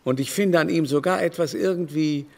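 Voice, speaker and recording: High-voiced, affected aristocratic baron. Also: male voice, vocal fry. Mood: coy, amused. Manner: mannered, theatrical, drawn-out emphasis. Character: vain, affected aristocratic older baron, haughty and confiding. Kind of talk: German radio play acting.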